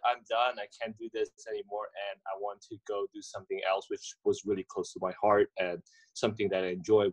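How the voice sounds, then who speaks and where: Man, forties, somewhat low voice, halting with fillers, video call. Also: rough recording. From Indonesia. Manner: halting, many fillers and restarts. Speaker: guest, coffee entrepreneur. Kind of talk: conversational interview answers. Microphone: webcam or laptop mic on video call.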